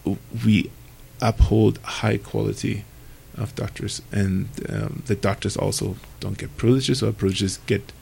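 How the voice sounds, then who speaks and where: A man's voice: lowish voice, steady tone, measured pace, vocal fry, few pauses, darkish tone, slight background noise, rough recording. A man on a radio panel who serves on hospital committees, speaking hesitantly with many ums and restarts.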